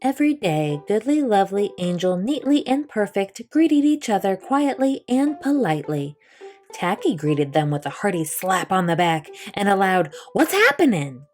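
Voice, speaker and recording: A woman reading a children's picture book aloud as a storyteller, with expressive delivery. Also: medium pitch, normal speed, faint music behind.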